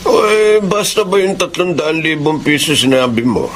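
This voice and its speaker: An actor playing a suspicious, wary husband bargaining in a radio drama. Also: male voice, a little high, expressive, normal speed, slight background noise, close to an everyday voice. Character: suspicious, wary husband wanting full payment.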